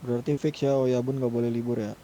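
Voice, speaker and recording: A man's voice: flat delivery, quick pace, warm tone. A man bantering casually in a gaming voice chat, teasing and throwing in short interjections. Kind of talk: casual joking gamer banter. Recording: faintly noisy game voice chat, low rumble.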